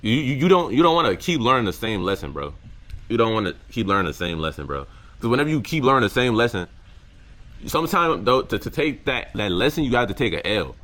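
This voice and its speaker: A young man with a deep voice, talking casually to camera in a reflective vlog, full of slang and repeated words. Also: sing-song, fast, a bit bright. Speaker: content creator.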